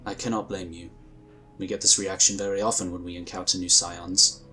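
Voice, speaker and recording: An actor playing a calm, courteous male psionic inquisitor, speaking formally and gently in a husky voice. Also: sits low, brisk, faint music behind. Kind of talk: audio drama acting.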